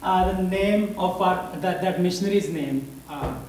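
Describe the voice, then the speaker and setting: Slow, high Pakistani man in a hall. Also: a little mellow, a little hiss or hum. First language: Punjabi. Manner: halting, searching for words in English. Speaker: visiting missionary speaker. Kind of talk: church talk to a congregation. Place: church hall. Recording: roomy hall audio from a distance.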